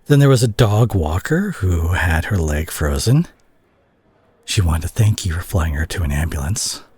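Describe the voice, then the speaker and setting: A man's voice: sits low, expressive, breathy edge, heavily acted. A man playing a weary, worried superhero talking intimately to an injured teammate in an audio roleplay. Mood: gentle, reassuring. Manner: hushed, halting, sighing, turning exasperated.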